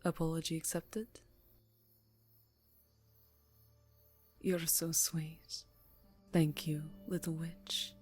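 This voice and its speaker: Slow, low-voiced vampire countess played by a woman. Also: little pitch movement, faint music behind. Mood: soft, intimate. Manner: formal, soft, breathy, drifting into whispers. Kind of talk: ASMR roleplay, intimate scripted monologue. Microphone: close microphone.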